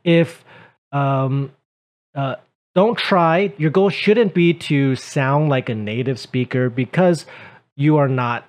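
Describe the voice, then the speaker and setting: Relaxed chatty man with fillers. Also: mid-range voice, takes it slowly. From Taiwan. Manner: relaxed, conversational, with many fillers. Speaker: co-host, English teacher. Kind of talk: casual advice chat.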